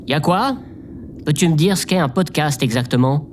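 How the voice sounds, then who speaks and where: A man's voice: on the high side, expressive, moderate pace, a little hiss or hum, natural voice. A man playing a hesitant, self-doubting oddball in an audio drama, speaking with stiff politeness as if musing aloud.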